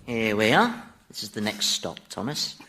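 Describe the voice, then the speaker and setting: Gleefully sardonic Devil, swooping voice. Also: male voice, lowish voice, sing-song, moderate pace, sharp tone. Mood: dry menace. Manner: mocking, chatty, mock-polite. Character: gleeful, sardonic male Devil, taunting tour guide of Hell. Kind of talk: radio sitcom acting.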